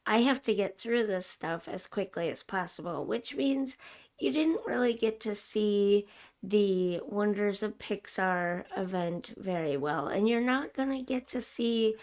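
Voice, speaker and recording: A woman hosting a gaming video, narrating casually and chattily in a slightly rough, raw voice as she recovers from a cough. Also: mid-range voice, measured pace. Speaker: host of a gaming video series. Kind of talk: casual gameplay narration voice-over.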